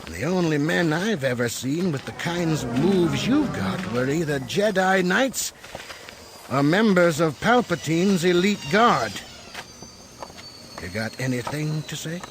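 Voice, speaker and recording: A man's voice: fairly high pitch, expressive, a little slow, noisy background. A man playing a friendly old rogue trader in a space opera audio drama, folksy, rambling and given to nervous chuckles. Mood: chatty, probing. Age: older.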